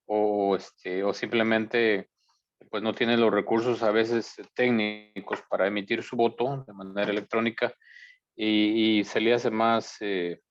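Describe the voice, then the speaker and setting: A man's voice: lowish voice, little pitch movement, measured pace. A man from Guanajuato, Mexico, speaking over a video call as a forum participant, polite and hesitant with many fillers. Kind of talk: remarks over a remote call. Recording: dull lo-fi call audio.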